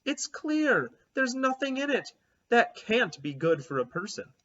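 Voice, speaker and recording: A blunt, homesick pilgrim woman, put on by a man in a high, swooping voice from a children's storybook. She talks in an old-fashioned, matter-of-fact way and sounds incredulous at modern ideas. The recording is a little dull and lo-fi. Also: very animated, unhurried, a bit bright, full character voice.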